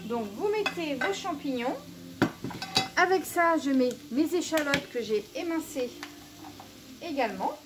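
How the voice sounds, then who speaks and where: High-voiced chatty woman cooking. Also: expressive, unhurried, long pauses, very noisy. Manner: chatty, keeps saying "donc" and "bien sûr". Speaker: cooking show host. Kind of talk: casual cooking demonstration. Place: small shop, indoors.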